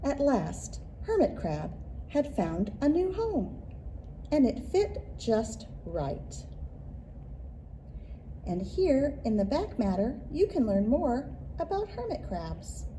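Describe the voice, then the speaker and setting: A woman reads a children's picture book aloud in an expressive, deliberate storytelling manner, leaning into rhythmic phrases. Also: high-pitched, a little hiss or hum, rough recording. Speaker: children's librarian and story reader.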